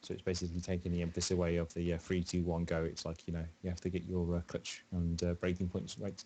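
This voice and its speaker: A man's voice: deep voice, monotone, quick pace, warm tone, breathy edge. A man on a voice call with a streamer, casually explaining race rules and giving driving tips. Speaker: guest on call. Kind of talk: casual chat over a voice call.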